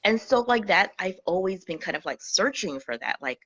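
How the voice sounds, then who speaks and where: A woman from Singapore, a guest in an interview on a video call, telling her story in a lively way and acting out her past thoughts. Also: medium pitch, normal speed, slightly rough, lo-fi sound. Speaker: guest, Akashic Records healer. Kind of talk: casual interview chat.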